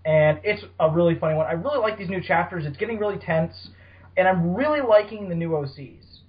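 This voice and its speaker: Higher-voiced man, bantering podcast host, dull audio. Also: brisk. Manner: bantering, swearing. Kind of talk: casual chat about fan fiction.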